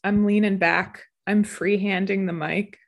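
A woman co-hosting a casual podcast chat, playful and teasing, quick to laugh. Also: mid-range voice, flat delivery. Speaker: podcast co-host.

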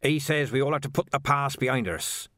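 A man's voice: mid-range voice, fairly quick, bright, forceful tone, no room sound, clean studio sound, voice pushed up, close to an everyday voice. A man voicing a morbid, sulky, pleading gravedigger in an audiobook. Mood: sheepish. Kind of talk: audiobook character voice.

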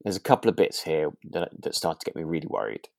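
A woman's voice: rumbling low, very animated, fast talker, vocal fry, a little mellow, no room sound, clean studio sound. A woman co-hosting a podcast, chatting casually about a drama in a hesitant, giggly way, thinking aloud. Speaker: podcast co-host. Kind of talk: casual chat reviewing a radio drama.

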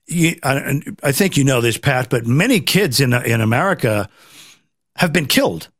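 A man hosting a casual political commentary chat, rambling and halting as he often repeats his phrases. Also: medium pitch, expressive, a bit bright, no room sound, clean studio sound. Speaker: talk show host.

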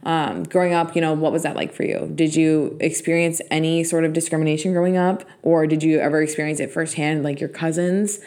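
A woman's voice: deep voice, steady tone, fairly quick, few pauses, darkish tone. A young woman hosting a podcast, asking a long, earnest string of rambling interview questions. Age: young adult. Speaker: podcast host.

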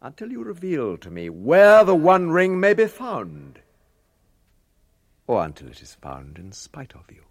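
An actor playing a proud, scheming old wizard in a radio drama, with grandiose and scornful theatrical delivery. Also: male voice, a little high, wildly swooping pitch, a little slow, exaggerated voice. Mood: menacing. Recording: reverberant chamber radio drama sound.

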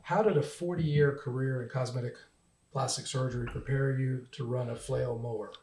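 A man hosting a casual podcast, reading a scripted intro and then asking relaxed questions with fillers. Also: medium pitch, moderate pace. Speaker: podcast host.

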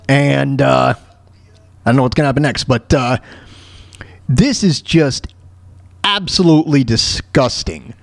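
Guy doing radio talk, highish voice that moves around a lot, stammers and repeats himself, blunt opinions. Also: no room sound. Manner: halting, stammering, repeats phrases. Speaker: talk show host. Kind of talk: casual off-the-cuff radio commentary.

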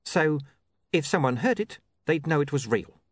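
A cold male crook voiced by a male reader, curt and menacing. He makes clipped, deliberate demands in a dry, close audiobook sound. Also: a little high, animated, dry recording, pitched above the performer's own voice. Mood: calculating.

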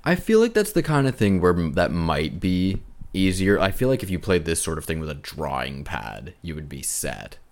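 A man's voice: deep voice, big pitch swings, normal speed, hardly any pauses. A man co-hosting a casual video game podcast, thinking aloud in a tentative way. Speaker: podcast co-host. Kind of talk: casual chat about video games.

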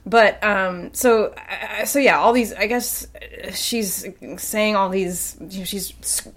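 A woman's voice: mid-range voice, takes it slowly. Sarcastic woman in her thirties from long island, blunt, swears a lot, chatty podcast cohost. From Long Island, New York. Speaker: podcast co-host. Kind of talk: casual chat.

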